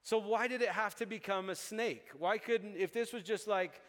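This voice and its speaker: A man's voice: high-pitched, normal speed. A man who grew up in Uganda, preaching a sermon and acting out dialogue with little chuckles. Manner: animated, acts out dialogue with chuckles. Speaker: pastor. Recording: long hall reverb.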